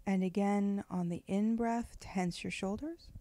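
A woman leading a guided meditation in a gentle, unhurried, soothing way, with phrases often trailing off into breath. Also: mid-range voice, fairly even intonation, no echo. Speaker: meditation guide, spiritual director.

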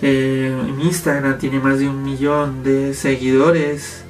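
A man's voice: medium pitch, monotone, takes it slowly, soft background music. A man, talking to camera from a home office and explaining his topic, gesturing as he speaks. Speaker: YouTube host. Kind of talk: casual commentary to camera. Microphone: fixed camera, medium framing.

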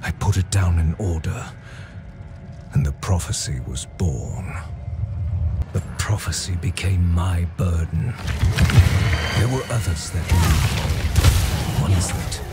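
A grave, ancient seer weighed down by what he has foreseen. The voice is very low and unhurried, telling its story solemnly as in a dark fantasy game cinematic, with music clearly behind it. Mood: solemn, burdened. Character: weary, grave ancient male prophet burdened by foresight. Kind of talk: dramatic game cutscene narration.

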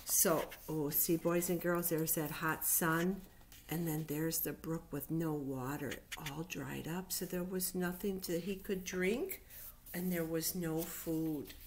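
A middle-aged woman reading Bible stories aloud to young children, explaining simply and patiently with deliberate emphasis. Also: low-pitched, steady tone, a little hiss or hum. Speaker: children's Sunday school storyteller. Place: living room at home. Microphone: webcam or laptop microphone at arm's length.

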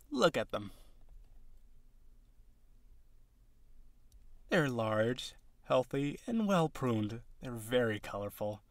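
A man's voice: medium pitch, fairly bright tone, no echo, studio quality. A man voicing an eager, awkward, insecure young male harpy in an audio roleplay, talking to the listener in an earnest, rambling, nervous way. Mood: anxious showing off.

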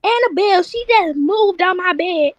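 A woman acts out a stubborn old granny with a very high voice. She scolds in a folksy, bossy way, as in a homemade roleplay cartoon, recorded close and dry. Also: lively intonation, normal speed, no echo. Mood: upset. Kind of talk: amateur voiced roleplay video.

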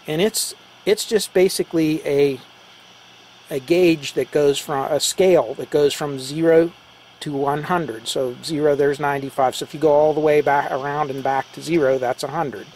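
A man's voice: on the high side, a little mellow, a little hiss or hum, poor audio. A man giving a casual, conversational hands-on explanation, taking it step by step as a hobbyist presenter. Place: indoors beside radio equipment.

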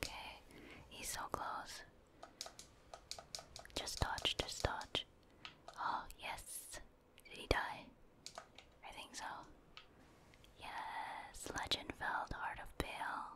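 Female gaming ASMR host: fully whispered, breathy delivery, casual rambling commentary as she plays. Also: extremely slow, pauses often. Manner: casual, rambling, thinking aloud.

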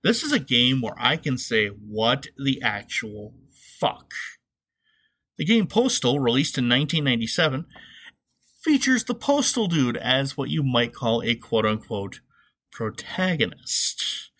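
A man's voice: medium pitch, very animated, measured pace, a bit bright, husky. Sarcastic middle-aged man on a podcast. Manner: sarcastic, conversational, profane. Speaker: podcast host. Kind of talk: comedic commentary, solo podcast monologue.

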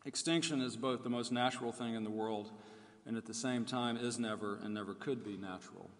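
A man delivers an academic keynote in a formal, measured manner, reading from a prepared text. His voice sits at a medium pitch. Long reverb from the hall trails his words. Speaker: keynote speaker. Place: large indoor room at a conference.

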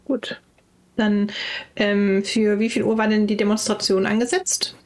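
A woman's voice: medium pitch, level tone, a little slow, a little mellow. A woman talking casually as a player in a tabletop role-playing game.

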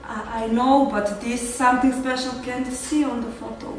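A woman's voice: fairly high pitch, fairly even intonation, normal speed, a little hiss or hum. Woman speaking in a roomy echoey office. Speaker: casting assistant in a film. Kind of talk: amateur film dialogue. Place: office, indoors. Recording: echoey room from far off, music behind.

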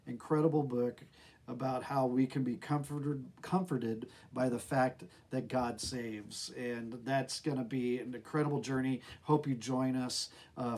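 A man's voice: medium pitch, a little mellow. A middle-aged man with an American accent, speaking as a pastor in a devotional talk to camera. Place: small office with bookshelves, indoors.

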